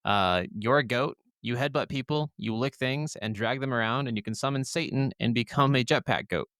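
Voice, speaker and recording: Clear-voiced man, casual podcast co-host. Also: medium pitch, moderate pace, a bit bright, no room sound, studio quality. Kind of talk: casual gaming podcast chat.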